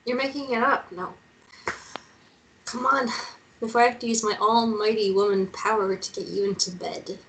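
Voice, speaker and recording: A woman's voice: fairly high pitch, fairly even intonation, normal speed, fairly bright tone, low-quality recording. A woman acting an affectionate, teasing girlfriend in a drama script read. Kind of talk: script table read, acted dialogue.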